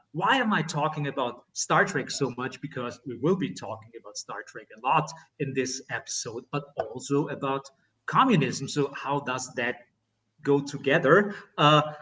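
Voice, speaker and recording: Austrian male podcast host, chatty and halting, mid-pitch voice sitting back from the mic. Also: fairly bright tone, far from the mic, low-quality recording. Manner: chatty, many uh fillers and restarts. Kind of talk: casual interview chat.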